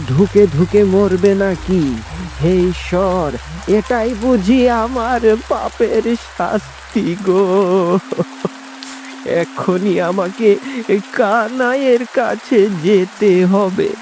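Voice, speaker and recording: A man's voice: sits high, takes it slowly, a little hiss or hum, poor audio. A man voicing an authoritative village headman in a cartoon. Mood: anxious, grieving. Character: stern, unjust village headman, worried father. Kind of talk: cartoon dialogue. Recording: loud music behind, rain sounds.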